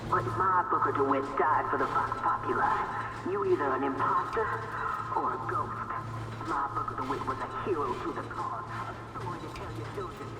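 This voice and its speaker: An actress playing a stern, accusing revolutionary leader declaiming in a video game. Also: female voice, deep voice, moderate pace, close to an everyday voice. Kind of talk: dramatic game dialogue. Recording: distant, echoing reverb, very loud music.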